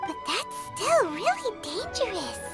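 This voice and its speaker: A woman voicing a tiny, inquisitive, childlike fairy companion with a squeaky voice in an anime-style game. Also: sing-song, unhurried, vocal fry, loud music behind the voice, full character voice. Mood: worried. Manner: inquisitive, exclaiming.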